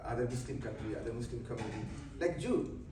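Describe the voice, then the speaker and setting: A man giving an animated, proud speech to a community gathering. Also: medium pitch, quick pace, noisy background. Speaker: community speaker. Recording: echoey hall from far off, loud chatter.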